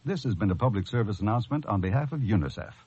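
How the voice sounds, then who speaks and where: A man's voice: lowish voice, lively intonation, rapid-fire, darkish tone, breathy edge. A man reading a scripted public service announcement in an earnest, composed way. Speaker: charity announcement narrator. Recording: dull, dry radio broadcast.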